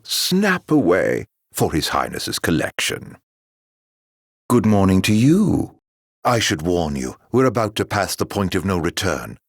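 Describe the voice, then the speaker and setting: An actor voicing a sly, mock-courteous villain in a video game, with a florid, teasing, theatrical drawl. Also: male voice, on the low side, no echo, clean studio sound, full character voice.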